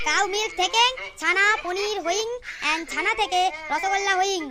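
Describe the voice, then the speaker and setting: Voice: very high voice, moderate pace. A performer voicing a whiny, mischievous schoolboy in a comedy cartoon, with mock innocence. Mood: eager, mock-innocent.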